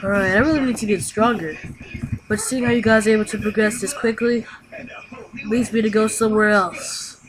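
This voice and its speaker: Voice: mid-range voice, steady tone, a crowd in the background, lightly acted, poor audio. A performer playing a battle-eager martial arts mentor in an improvised anime-style game roleplay, drawing out attack names. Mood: confident. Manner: shouts drawn-out attack names. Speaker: player and host of a gaming roleplay video.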